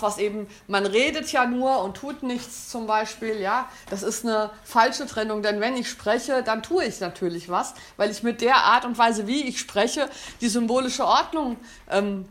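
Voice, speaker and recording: A woman giving a thoughtful spoken talk, often pausing with fillers and restarting her sentences. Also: fairly high pitch, fairly bright tone. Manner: hesitant, many fillers and restarts. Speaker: speaker on language and society.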